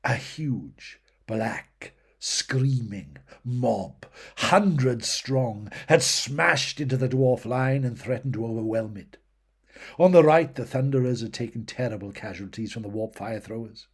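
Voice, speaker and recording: A man's voice: mid-range voice, lively intonation, a little slow, slightly rough. A man reading fantasy fiction aloud as dramatic scripted narration, with a theatrical, emphatic delivery that rises and falls in intensity. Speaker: audiobook reader of fantasy fiction.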